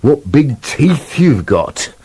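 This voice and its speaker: A man's voice: medium pitch, big pitch swings, takes it slowly, dark tone, slightly rough, heavily acted. A British man playing a sardonic, bossy wolf in a comic radio play, grumbling and sarcastic. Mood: grudging, practical. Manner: sarcastic, exasperated, sweary.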